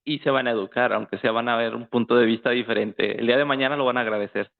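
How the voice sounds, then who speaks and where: A man's voice: medium pitch, steady tone, fairly quick, gravelly. Mexican man on a tinny phone-like line. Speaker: guest in a political discussion. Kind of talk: casual, conversational discussion. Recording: tinny phone-like, roomy echo.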